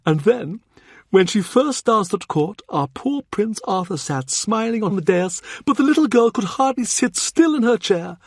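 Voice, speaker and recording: A man's voice: high voice, lively intonation, normal speed, dry recording, clean studio sound, pitched above the performer's own voice. A man voicing an urbane, shrewd older cardinal who reminisces in a genial, confiding way. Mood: animated. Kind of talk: audiobook character reading.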